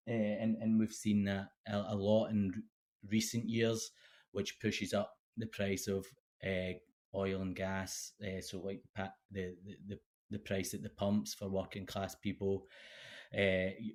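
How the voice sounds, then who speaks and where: A Scottish man in his thirties, a guest speaking casually in an interview, halting with many fillers and restarts. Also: on the low side. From Scotland. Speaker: guest, PhD candidate.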